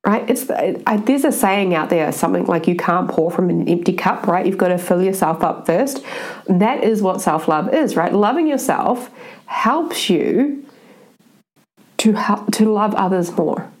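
A woman's voice: mid-range voice, animated, measured pace, darkish tone. A woman with a blocked-up, congested nose, giving an earnest motivational talk on self-love and often asking "right?". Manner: earnest, keeps adding "right?". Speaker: self-help speaker.

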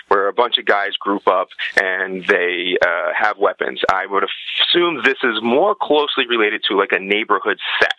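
A man's voice: on the low side, pushed and bright, no room sound, highs cut off. A man giving opinions as a podcast guest, conversational but emphatic, often arguing through his own questions.

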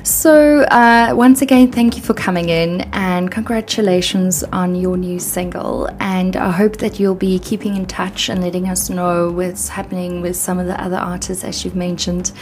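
A woman's voice: on the low side, fairly even intonation, moderate pace, hardly any pauses, a little mellow, a little hiss or hum. A South African woman hosting an interview, friendly and upbeat, with many ums. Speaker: radio podcast host. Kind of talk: casual interview chat.

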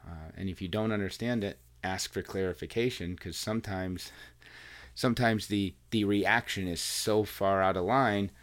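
A man's voice: low voice, moderate pace, fairly bright tone. A man hosting a podcast, chatting with his wife in an earnest, rambling way full of restarts. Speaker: podcast host and coach. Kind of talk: casual chat. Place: home recording room with wife. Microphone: plugged into recording software.

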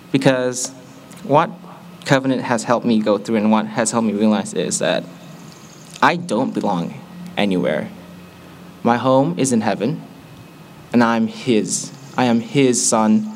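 Young man, medium pitch, heartfelt testimony to peers, candid with joking asides, faint ambience behind. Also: slight background noise. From Malaysia. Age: young adult. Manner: earnest, candid, with playful asides to the audience. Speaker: student giving a testimony. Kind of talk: personal testimony to a group.

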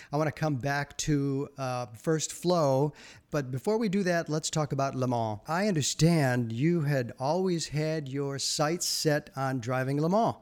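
A man hosting a podcast, asking questions in a conversational interview style. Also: medium pitch. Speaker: podcast host.

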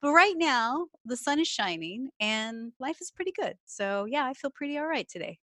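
A woman's voice: high-pitched, very animated, moderate pace, a bit bright, no echo, studio quality. A woman from Texas with a Texan accent, chatting about her small business in a casual interview, upbeat and full of fillers. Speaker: small business owner and coach. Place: home, working remotely.

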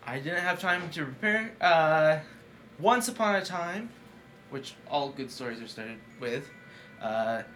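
A man on a podcast, improvising stories and chatting casually in a halting, hesitant way. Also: on the high side, animated, a bit bright, a little hiss or hum. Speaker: podcast participant. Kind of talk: casual improvised storytelling and chat.

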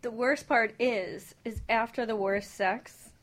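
A woman's voice: a little high, steady tone, slow, a bit bright. A woman chatting candidly and bawdily as a panelist on a radio talk show. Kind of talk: candid, bawdy casual chat.

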